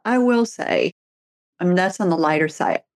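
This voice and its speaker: A woman's voice: lowish voice, huge pitch swings, moderate pace, a little mellow, no echo, studio quality. A middle-aged woman, a therapist and author, chatting casually and telling stories with easy laughs. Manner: chatty storyteller, laughs easily. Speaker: podcast host, therapist and author. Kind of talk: casual conversation.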